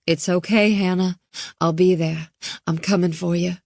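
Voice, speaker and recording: Woman reading as a cop mom forcing herself calm, lowish voice, urgent. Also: normal speed, gravelly, no echo, studio quality. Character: steady woman cop, protective mother.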